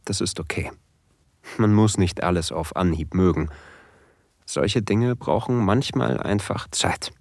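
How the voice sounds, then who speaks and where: Actor as nervous submissive husband, low-pitched, confiding, hushed, deliberate, very dry close sound, first-person audio play. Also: male voice, gravelly, dry recording, exaggerated voice. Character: eager, nervous submissive husband telling his story. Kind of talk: intimate first-person audio drama narration.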